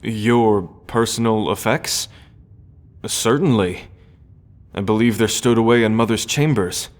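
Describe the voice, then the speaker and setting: An actor playing an earnest, conflicted prince in an audio roleplay, speaking formally and deliberately with sighs. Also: male voice, fairly low pitch, close to an everyday voice. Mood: resolute, earnest. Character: earnest, conflicted prince, son of a scheming queen. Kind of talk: audio roleplay drama acting.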